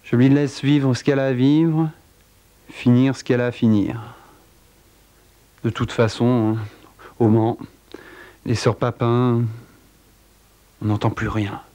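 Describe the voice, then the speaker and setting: A man telling a dark true-crime tale in a wry, theatrical way, with a cold. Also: flat delivery, slow pace, long pauses. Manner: wry, theatrical storytelling with big pitch swings. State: has a cold. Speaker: narrator. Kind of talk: dramatic spoken narration.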